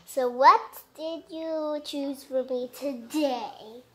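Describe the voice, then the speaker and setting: A woman's voice: sits high, slow, darkish tone. A woman hosting a casual, interactive story time for children, chatting hesitantly as she reacts to the book. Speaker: children's story read-aloud host.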